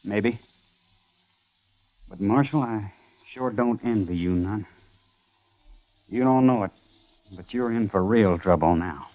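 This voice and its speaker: A man's voice: fairly low pitch, slow pace, pauses often, mellow, low-quality recording. A man playing an earnest, worried young rancher, folksy and deferential, in an old radio western. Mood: somber. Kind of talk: 1950s radio western acting.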